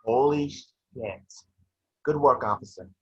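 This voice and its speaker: A man's voice: mid-range voice, slow pace, creaky, darkish tone, slightly rough, heavily acted. A man playing a blunt, world-weary police detective in an amateur table read over a video call. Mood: grimly intrigued. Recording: muffled video-call audio.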